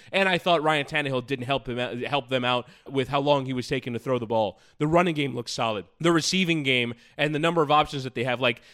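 The second man on a sports podcast, a somewhat higher voice picking apart a team's performance as if chatting with his co-host. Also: a bit bright, smooth. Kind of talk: conversational sports analysis.

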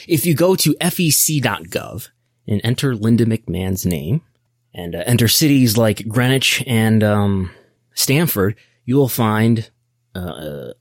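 Wry American man, low-pitched and dry-miked. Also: dry recording. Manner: wry, nerdy, thinking aloud. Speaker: podcast host. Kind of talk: casual explanatory business commentary.

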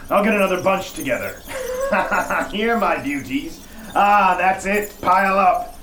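An actor playing an excitable, morbid lighthouse keeper in an audio horror drama, theatrical and exclamatory. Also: male voice, sits high, a little slow. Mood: cruel glee. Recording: echoey, noisy radio play sound.

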